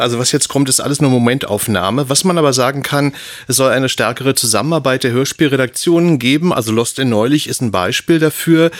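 A man's voice: medium pitch, dry recording. A man giving a conversational report as a radio journalist in a studio. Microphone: close studio microphone.

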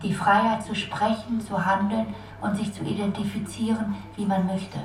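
A woman's voice: medium pitch, flat delivery, moderate pace, lightly acted. A woman playing a haunting, searching inner voice, speaking oracular lines in a poetic radio play. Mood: searching, incantatory. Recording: roomy, distant voice over faint music.

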